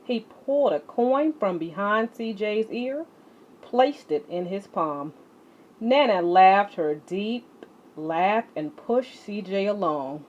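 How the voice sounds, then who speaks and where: A woman reads a picture book aloud at an unhurried pace, with lots of rise and fall in her voice. Her storytelling is deliberate and expressive. The recording sounds a little dull and lo-fi. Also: medium pitch. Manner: animated, deliberate storytelling delivery. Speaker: read-along storyteller.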